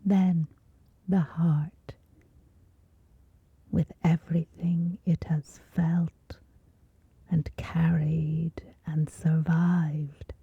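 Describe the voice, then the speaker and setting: A woman with a soft, whispery voice gently guides a scripted sleep meditation, soothing, with long pauses between short phrases. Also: sits low, level tone, slightly rough, no room sound, narrow, tinny audio.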